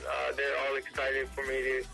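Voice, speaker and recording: A man's voice: mid-range voice, completely flat delivery, moderate pace, creaky, sharp tone, faint music behind. A young man from Hawaii giving casual interview answers as a football recruit. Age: late teens to young adult. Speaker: high school football recruit.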